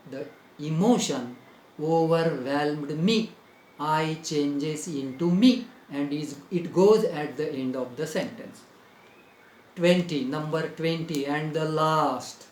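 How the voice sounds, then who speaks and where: An Indian English-accented man teaches grammar in slow, deliberate dictation. He spells words out one letter at a time and repeats each phrase. His fairly high voice rings in an echoey room. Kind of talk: grammar lesson.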